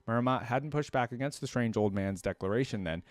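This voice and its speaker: A man's voice: medium pitch, a little fast, no echo. A man hosting a reaction video, reading lore aloud and adding casual commentary.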